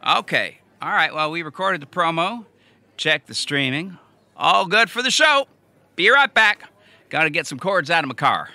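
An upbeat small-town radio promoter in an audio drama. The voice is high for a man and very bright, with pitch that moves in lively swings. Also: normal speed, no echo, exaggerated voice. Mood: businesslike. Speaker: radio announcer.

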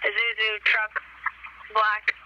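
A woman's voice: mid-range voice, monotone, slow pace, bright, forceful tone. A woman dispatcher giving clipped readouts over a two-way radio. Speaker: emergency dispatcher. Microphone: radio transmission played through handheld scanner speaker. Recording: muffled scanner radio, light static.

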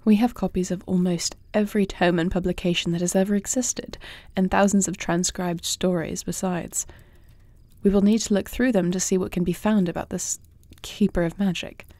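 A woman playing a poised young warrior princess in a fantasy audio roleplay, speaking with formal, measured, regal diction. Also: mid-range voice, a little mellow, no room sound, natural voice. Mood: resolute. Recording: faint night cricket ambience.

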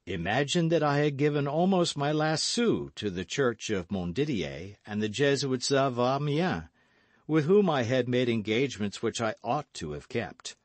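A man voicing a refined, wry gentleman musketeer with a polite manner in a storybook reading. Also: mid-range voice, very animated, moderate pace, dry recording. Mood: mock-rueful.

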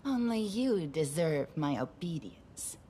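An actress playing a menacing, vain fantasy warrior in a video game, with theatrical, drawn-out threats. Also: female voice, deep voice, very animated, dark tone, breathy edge, heavily acted. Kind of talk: video game character barks. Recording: noisy, game ambience behind.

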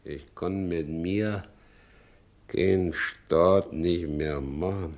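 An actor playing an aging, broken, guilt-ridden carter in a 1960s radio play, speaking in a brooding, halting, plain way. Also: male voice, sits low, darkish tone. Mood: weary, resigned. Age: middle-aged.

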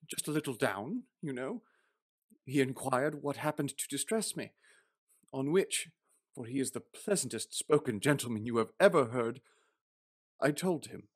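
An actor playing a gentle, grieving father of a poor Victorian family in a radio play, tender and halting. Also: mid-range voice, slightly rough. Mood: subdued, tender.

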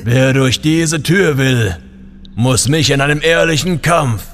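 An ancient guardian of a royal tomb, acted by a man, speaking at a slow, stately pace. The delivery is pompous and archaic, as in a fantasy radio play, with faint music behind it. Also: medium pitch, fairly bright tone. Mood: solemn, menacing. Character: ancient, grandiose male guardian of a king's tomb. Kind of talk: audio drama acting.

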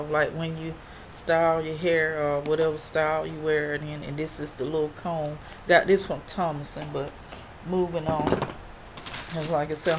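A woman in her forties showing off mail she received, chatty and rambling with many ums, her voice a little worn. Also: low-pitched. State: losing her voice. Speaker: product review vlogger. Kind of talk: casual show-and-tell haul video. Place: small dim bedroom, indoors. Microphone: built-in webcam mic at arm's length. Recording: noisy webcam recording.

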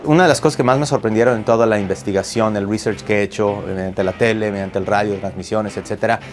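Enthusiastic Mexican man, low voice. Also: steady tone, quick pace. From Mexico City. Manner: enthusiastic, chatty. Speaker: hockey play-by-play broadcaster. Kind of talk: interview answers. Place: locker room, indoors.

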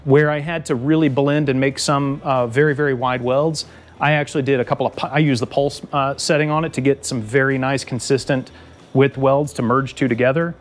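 A man's voice: medium pitch, fairly even intonation, fairly quick. A man in his thirties, hosting his own car build channel, casually explaining his work with fillers and restarts, filmed by a nearby camera. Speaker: car builder and shop host. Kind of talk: casual how-to walkthrough. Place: outdoors under a truck, then a home garage.

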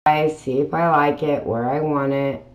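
A woman hosting a craft channel, talking through a tutorial in a casual voiceover with plain, unhurried step-by-step explaining. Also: low voice, steady tone, lo-fi sound. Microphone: voiceover mic.

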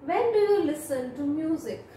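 A woman in her thirties with an Indian English accent, reading exam questions aloud and answering them as model replies, in steady, formal, rehearsed phrasing. She speaks close to a camera in a small room. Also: sits high, normal speed, roomy sound, slight background noise. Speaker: IELTS speaking practice demonstrator.

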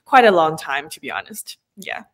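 A woman's voice: deep voice, sing-song, normal speed. Chatty young woman from China. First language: Chinese. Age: 20s. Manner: chatty, laughs often, many "like" fillers. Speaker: guest. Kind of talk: casual interview chat. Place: home room with kitchen shelves. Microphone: video call, laptop camera.

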